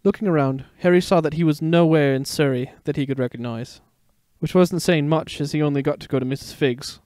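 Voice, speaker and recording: A man's voice: a little high, a little fast, darkish tone, dry recording, clean studio sound. A man narrating a scripted story with a dramatic storytelling delivery.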